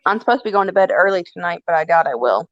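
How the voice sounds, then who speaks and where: A woman's voice: on the low side, expressive, racing, no echo. A woman in her twenties chatting playfully as a guest on a live stream. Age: 20s. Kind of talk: casual chat. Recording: dull, dry call audio.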